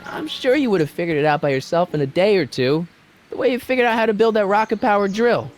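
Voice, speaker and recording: Lively, youthful American teenage boy. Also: high voice, quick pace. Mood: persuasive. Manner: casual, earnest. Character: quick-thinking, youthful teenage boy, casual and earnest. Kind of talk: video game voice acting.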